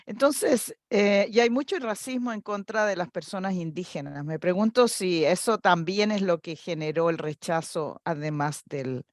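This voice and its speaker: A woman's voice: lowish voice, normal speed, hardly any pauses, dry recording, clean studio sound. A woman from Chile with a Chilean accent, hosting an interview and asking long, thoughtful questions in a cordial way. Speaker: host.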